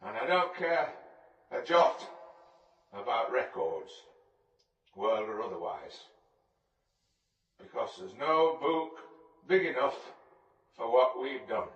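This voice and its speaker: A man's voice: mid-range voice, very animated, slow pace, pauses often, fairly bright tone, far from the mic. An actor playing a lonely, grieving, stubborn man with a northern English accent in a radio play. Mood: grieving, subdued. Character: lonely, grieving, stubborn Lancashire man making a public stand. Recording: echoey hall, music behind, off mic.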